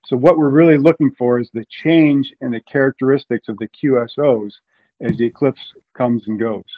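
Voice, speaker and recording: A man's voice: mid-range voice, steady tone, moderate pace, phone-quality sound. A man from Ohio, a guest in an interview, explaining at length with frequent restarts. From Ohio, USA.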